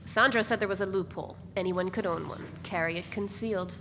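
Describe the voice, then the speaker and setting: A woman's voice: medium pitch, brisk. A woman reading scripted first-person literary narration aloud at a live event. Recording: reverberant hall, noisy live recording.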